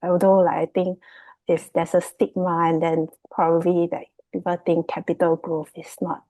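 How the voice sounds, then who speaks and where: A Singaporean woman with a husky, fairly low voice gives an informal presentation. The sound is dry and close, and her delivery is hesitant and loosely phrased. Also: flat delivery, normal speed, dark tone, no echo, rough recording. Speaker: guest, property investment student. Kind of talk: casual unscripted presentation. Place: remote video call.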